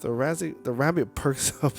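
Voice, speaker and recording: A man's voice: mid-range voice, normal speed, gravelly. A man streaming a game, reading the story aloud and dropping in casual reactions. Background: music.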